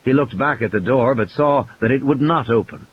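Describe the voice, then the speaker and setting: A man's voice: medium pitch, brisk, lo-fi sound, slight background noise. A man reading a scripted adventure story as a dramatic audiobook narrator. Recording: music and sound effects behind the voice. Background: music and background sound effects.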